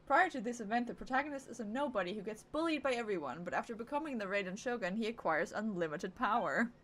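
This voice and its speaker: Higher-voiced woman streamer, non-native English. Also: animated, fast, a bit bright, a little hiss or hum. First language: not English. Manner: playful, self-interrupting. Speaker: game streamer. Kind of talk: live game commentary and reading dialogue aloud. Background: video game music.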